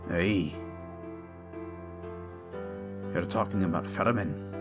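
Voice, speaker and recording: A man playing a gruff, suspicious but loyal dwarf with earthy, informal speech in a fantasy audio drama. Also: low voice, normal speed, loud music behind the voice, pitched below the performer's own voice, close to an everyday voice. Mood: distrustful.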